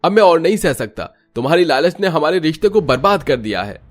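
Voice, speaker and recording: A man's voice: fairly high pitch, big pitch swings, brisk, pitched above the performer's own voice. A man performs a fed-up husband in a cartoon family drama. He is reproachful and emphatic, over a music bed. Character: exasperated husband drained by wife's greed.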